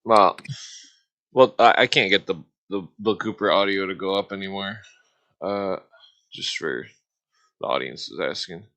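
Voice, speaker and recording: A man hosts a casual livestream with a sharp, forceful edge to his fairly low voice. He talks haltingly and informally, circling back on his words and swearing freely. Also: creaky. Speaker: livestream host.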